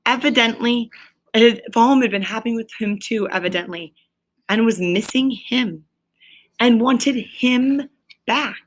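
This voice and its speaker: A woman's voice: on the high side, unhurried, low-quality recording. A woman teacher reading a story aloud and explaining it to pupils, with an emphatic, questioning delivery.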